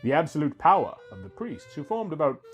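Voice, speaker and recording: A man reads a scripted first-person story as its narrator. His voice sits somewhat high and moves up and down in a very animated way. Music plays faintly underneath him. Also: fairly quick.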